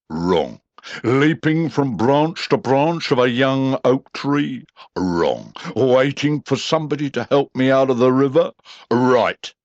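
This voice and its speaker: A man voicing a gloomy old male donkey who talks in a slow, dry, sarcastic drawl. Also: mid-range voice, no room sound, dull audio, voice pushed up.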